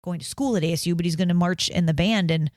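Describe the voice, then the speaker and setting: Candid, chatty woman guest, slightly low voice, dry recording. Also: fast talker, a little mellow, dry recording. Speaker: guest, band mom of a senior. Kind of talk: casual podcast chat.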